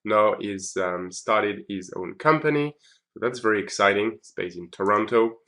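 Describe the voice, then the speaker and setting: A man's voice: lowish voice, animated, normal speed, a bit bright. A man giving a chatty conference recap on his video blog, with many ums and uhs. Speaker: video blogger.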